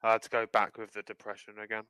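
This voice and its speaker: A young man joining a casual film podcast as a guest over a video call, laid-back and quick to laugh, with casual slang. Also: fairly low pitch, completely flat delivery, pushed and bright, clean tone, no room sound, low-quality recording. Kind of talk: casual chat.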